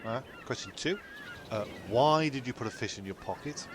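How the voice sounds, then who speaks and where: Man playing bumbling castaway questioner, comic radio play, stumbling, medium pitch, faint noise. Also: expressive, normal speed, fairly bright tone, lightly acted. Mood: flustered. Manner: stumbling, repeating himself.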